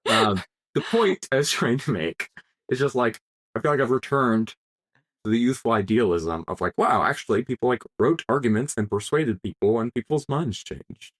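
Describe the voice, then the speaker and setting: A man whose first language is Polish, co-hosting a casual podcast chat in an animated, joking way with lots of fillers. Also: mid-range voice, normal speed. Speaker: podcast co-host.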